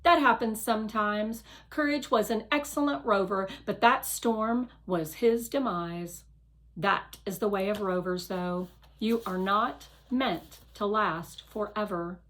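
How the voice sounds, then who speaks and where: Woman voicing a blunt, seasoned guardian machine, slow-spoken. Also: medium pitch, fairly bright tone. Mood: stern, knowing. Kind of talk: children's storybook read-aloud.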